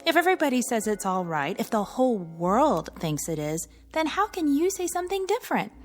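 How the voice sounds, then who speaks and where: An actress playing an insistent, incredulous young best friend who follows the crowd, in a radio drama. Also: female voice, on the high side, sing-song, a little fast, darkish tone. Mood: exasperated. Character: pushy, baffled, conformist young female best friend.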